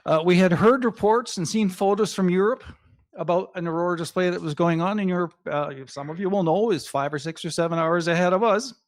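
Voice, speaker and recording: A man with a fairly high voice lectures to an astronomy club audience over his slides. English is his second language, and he speaks in a measured, emphatic way, pausing between phrases. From Canada. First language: not English.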